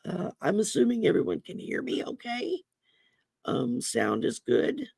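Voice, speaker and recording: A woman with a gravelly voice from damaged vocal cords. She hosts a casual livestream, chatting and reading oracle cards in a folksy way with many ums. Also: on the low side, wildly swooping pitch, a little slow, a little mellow, dry recording. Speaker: host and oracle card reader. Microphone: computer mic on a livestream.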